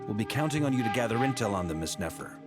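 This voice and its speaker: An actor playing a confident, encouraging commander in a video game, brisk and authoritative, with music underneath. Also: male voice, lowish voice, expressive, natural voice. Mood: earnest. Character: confident, encouraging young male expedition commander.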